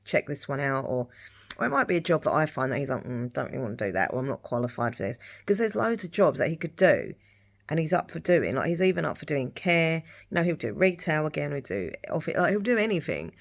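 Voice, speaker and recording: A middle-aged woman chatting casually in a rambling vlog style, her voice husky and dry-throated from hay fever. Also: sits low, very animated, a little fast. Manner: rambling, repeats herself. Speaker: lifestyle vlogger. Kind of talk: casual rambling chat to camera.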